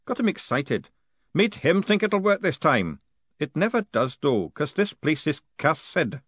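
A man's voice: a little high, sing-song, a little slow, fairly bright tone, breathy edge, dry recording, lo-fi sound, voice pushed up, heavily acted. A man voicing a fatalistic old lodge master who talks in a weary, mournful way. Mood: gloomy, resigned.

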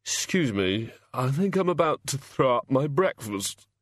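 A man voicing Bilious, a queasy, pitiful male god of hangovers who groans and mumbles haltingly. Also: mid-range voice, sing-song, no room sound, pitched above the performer's own voice.

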